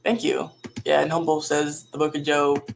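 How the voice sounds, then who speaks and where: A woman with a raspy voice talking casually and reflectively on a livestream while grieving, in halting phrases that sometimes trail off. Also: sits low, level tone, music in the background. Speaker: livestream host. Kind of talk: casual, reflective livestream talk about faith and grief.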